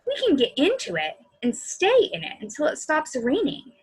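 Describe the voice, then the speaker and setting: A woman's voice: sits high, wildly swooping pitch, moderate pace, voice pushed up, rough recording. A woman voicing an eager, resourceful young girl from a children's storybook, in an emphatic, exclamatory way. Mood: excited, urgent.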